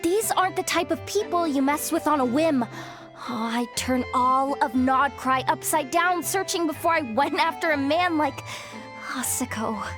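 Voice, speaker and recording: A woman's voice: high voice, moderate pace, over music, close to an everyday voice. An actress playing a frank, exasperated young woman investigator in a video game, speaking in a wry, conversational way. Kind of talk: video game dialogue acting.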